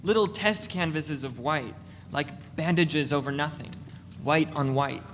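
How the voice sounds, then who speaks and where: Man reading as a pretentious, pushy artist with a fairly high voice, recorded in an echoey room with faint hiss. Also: a little slow, a bit bright. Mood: pushy, eager. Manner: pretentious, pressing sales pitch. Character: pushy self-promoting male artist. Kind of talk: dramatic reading of a novel.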